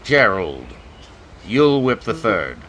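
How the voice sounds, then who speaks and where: A man's voice: lowish voice, big pitch swings, slow, music in the background. A man playing a stern older major in a western radio drama, speaking in a formal, clipped, authoritative way. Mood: commanding. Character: stern, cold, commanding older major leading a lynch mob.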